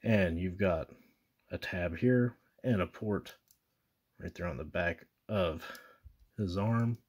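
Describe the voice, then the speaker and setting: A man with a deep, low-pitched voice talks viewers through a toy step by step. He is casual and chatty, with fillers and self-corrections. He is recorded indoors at a table by an off-screen camera mic. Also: slow pace, darkish tone, slightly rough. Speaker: toy reviewer. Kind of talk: casual hands-on toy demonstration. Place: indoors at a table with a posterboard backdrop.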